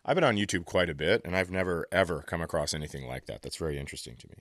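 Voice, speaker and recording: A man with a low voice trades casual banter on a comedy podcast. He comes across as sardonic and blunt, teasing and swearing freely. He is recorded close and very dry. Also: animated, rapid-fire, fairly bright tone, no echo.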